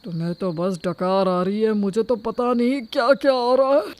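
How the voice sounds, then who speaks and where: A woman's voice: fairly high pitch, fairly even intonation, moderate pace, darkish tone, natural voice. A woman voicing a peacekeeping middle-aged father in a cartoon family comedy, admonishing and wryly placating. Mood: queasy.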